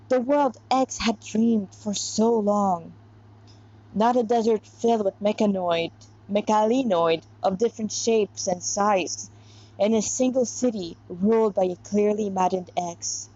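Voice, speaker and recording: A woman reading a story aloud informally with asides, halting at times and correcting herself as she goes. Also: fairly high pitch, a little mellow, highs cut off. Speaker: reader of own fan fiction.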